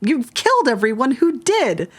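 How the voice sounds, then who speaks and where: A woman's voice: high voice, sing-song, normal speed, slight background noise. A woman giving casual, amused, slangy reaction commentary on a game.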